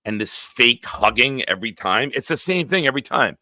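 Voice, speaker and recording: A man in his forties hosting a live-stream show, reading viewer comments aloud and bantering bluntly with a smooth, deep voice. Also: lively intonation, a little fast, pushed and bright, no room sound. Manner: banters with chat, blunt and profane.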